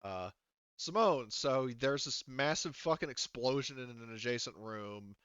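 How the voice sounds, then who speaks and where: Bright-voiced man running a tabletop game. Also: mid-range voice, unhurried, clear, resonant tone, no echo, lo-fi sound. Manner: informal, casually profane. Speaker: game master. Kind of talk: narrating a tabletop roleplaying game, casual.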